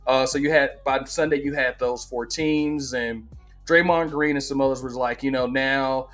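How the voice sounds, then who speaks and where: A man's voice: mid-range voice, fairly even intonation, moderate pace, fairly bright tone, soft background music. A man in his forties hosting a sports channel, talking in a casual, emphatic way and often repeating himself. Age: 40s. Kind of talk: casual opinion monologue.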